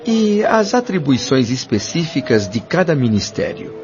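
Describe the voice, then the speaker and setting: A man's voice: on the high side, sing-song, normal speed. A man playing an awestruck, curious newcomer to the afterlife in an audio drama, full of eager wonder. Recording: dull lo-fi audio with music behind.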